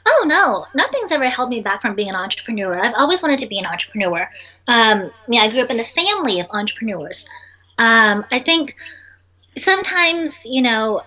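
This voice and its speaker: A woman's voice: fairly high pitch, sing-song, a little fast, pushed and bright, low-quality recording. A young woman entrepreneur, a native Vietnamese speaker, answering interview questions in a chatty way full of ums and restarts.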